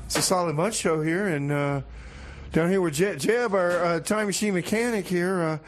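A man plays a casual comedy radio host, a little high in pitch. He talks hesitantly and loosely, with clear noise on the recording. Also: normal speed, lightly acted. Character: hesitant male radio host trying to manage a mission. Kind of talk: improvised comedy radio chat. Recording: noisy recording.

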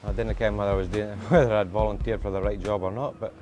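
Low-voiced Scottish lifeboat crewman. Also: little pitch movement, fast talker, over music. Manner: plainspoken, with a short laugh now and then. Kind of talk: interview recollection.

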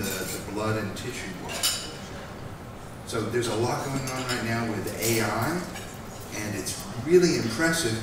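A middle-aged man giving casual panel remarks on stage, gesturing as he talks in a large event hall. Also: on the low side. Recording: echoey hall, distant mic, loud noise.

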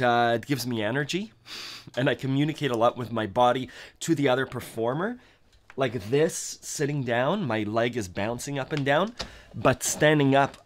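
A man in his thirties teaching accordion on a livestream from a home music room, thinking aloud and scatting melodies. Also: medium pitch. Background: accordion playing.

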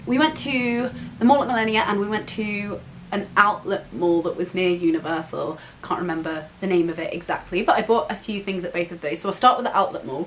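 A woman in her twenties chatting casually in a haul vlog, bubbly with quick asides, recorded in a bedroom on a camera mic. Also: medium pitch, a little hiss or hum. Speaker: vlogger. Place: bedroom, indoors. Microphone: camera mic, seated facing camera.

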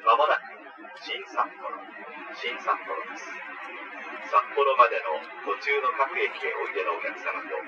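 A man reading a formal, measured scripted train announcement. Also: mid-range voice, lively intonation, recorded from a distance, dull audio. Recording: noisy onboard recording of train speakers. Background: loud diesel train engine noise.

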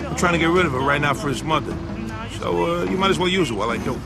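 A man's voice: fairly high pitch, expressive, rapid-fire, creaky, loud music behind the voice. A man playing a brash, upbeat gangster sidekick in a video game, blunt and wisecracking. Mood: reassuring.

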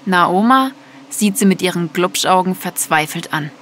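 A woman's voice: on the low side, normal speed, fairly bright tone, slight background noise. A woman narrating a scripted audio story.

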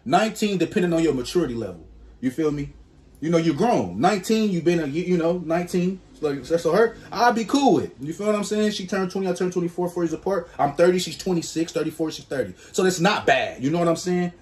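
A man in his twenties doing casual reaction commentary on a headset mic, slangy and animated, jumping to high exclamations. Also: fast talker. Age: 20s. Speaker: reaction streamer.

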